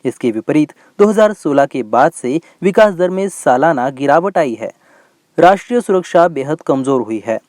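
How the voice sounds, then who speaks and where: A man's voice: on the high side, expressive, normal speed, a little mellow, no echo. A man reading a scripted news bulletin as its presenter.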